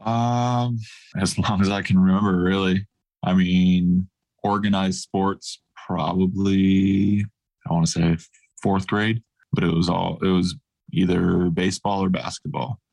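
A man in his thirties, a professional basketball player, answering interview questions in a relaxed, thoughtful way. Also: low-pitched, measured pace, creaky. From grew up across Asia, mostly Taiwan.

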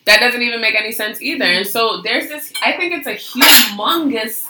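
A woman's voice: a little high, moderate pace, sharp tone. A woman from Latin America making pointed remarks in a casual group chat, often backing others with "mm-hmm". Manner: supportive listener, pointed remarks. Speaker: discussion panelist. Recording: echoey room, faint noise.

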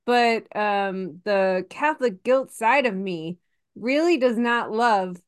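A woman's voice: medium pitch, takes it slowly, smooth. 40s woman cohost, rambly casual chat, lots of laughs and restarts. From Massachusetts. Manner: chatty, rambling, laughs often; many fillers and restarts. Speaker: podcast co-host.

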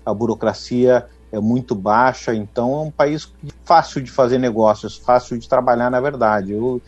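A middle-aged man from Brazil, a winemaker guest in a radio interview, explaining in a measured way with frequent tag questions. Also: medium pitch, fairly even intonation, a little mellow, slightly rough, lo-fi sound, faint music behind.

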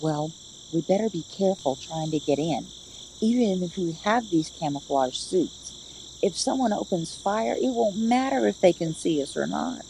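A woman playing a wary, skeptical female sidekick with an expressive, questioning delivery in an audio play. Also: fairly low pitch, normal speed, rough recording. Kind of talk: amateur audio play acting. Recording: steady noise behind the voice.

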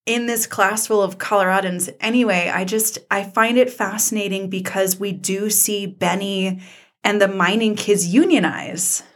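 A woman's voice: mid-range voice, fairly even intonation, normal speed. A woman with a Southern American accent co-hosting a conversational recap show, talking in an earnest, emphatic way. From American South (Georgia). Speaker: podcast co-host.

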